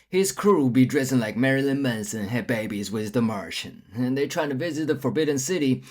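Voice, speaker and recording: A man's voice: mid-range voice, darkish tone. A young man from China delivering a casual, blunt, profanity-laced opinion monologue with a sarcastic edge. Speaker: storyteller vlogger.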